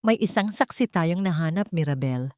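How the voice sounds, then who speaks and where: A woman reads as a serious man in an audio drama. The voice is quiet and grave, fairly low, and recorded dry. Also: lively intonation, moderate pace, no room sound, close to an everyday voice. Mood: grave, careful. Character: serious, soft-spoken man preparing a mother for court.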